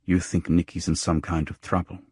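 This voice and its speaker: A man playing a weary, hard-boiled detective in audiobook dialogue, husky and terse in his questioning. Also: sits low, level tone, normal speed, a little mellow, dry recording. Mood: thoughtful.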